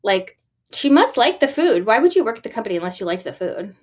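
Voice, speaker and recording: A woman's voice: a little high, big pitch swings, quick pace. A woman in her forties co-hosting a podcast, telling business news casually with rhetorical questions and emphatic asides. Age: 40s. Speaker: podcast co-host.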